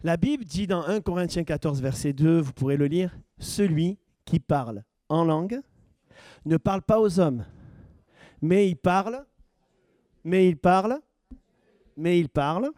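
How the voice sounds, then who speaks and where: A man's voice: on the high side, lively intonation, unhurried, pauses often, dark tone, no room sound, studio quality. A man preaching as pastor, questioning his congregation and building to emphatic points. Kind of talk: sermon teaching.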